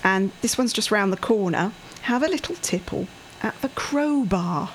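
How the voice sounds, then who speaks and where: A chatty woman co-hosts a relaxed talk show. She laughs easily and breezes through local recommendations. Faint background noise sits under her voice. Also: medium pitch, normal speed, poor audio. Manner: chatty, laughs often, reads out listings. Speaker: co-host. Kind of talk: casual radio chat.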